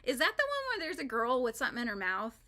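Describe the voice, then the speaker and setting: A woman's voice: high voice, wildly swooping pitch, fast talker, pushed and bright. A woman hosting a live crochet stream, chatting casually and rambling with frequent fillers and laughs as she works. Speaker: crochet livestream host.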